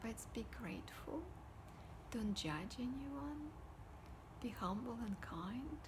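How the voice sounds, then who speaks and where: A woman, talking casually to camera at home in a soft, often whispery voice. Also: fairly high pitch, lively intonation, slow pace, background noise. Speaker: vlogger. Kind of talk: casual personal talk to camera. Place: living room at home, indoors. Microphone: device camera at desk distance.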